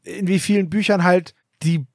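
Guy podcast co-host, voice on the high side, emphatic casual opinions, very dry sound. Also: fairly even intonation, normal speed, no echo. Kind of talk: casual discussion of an audio drama.

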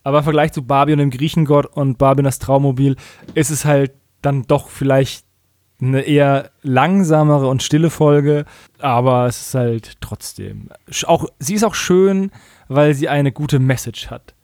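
A man's voice: mid-range voice, moderate pace, a little mellow, no echo. A man in casual chat as a podcast co-host, with a dry, wry humour.

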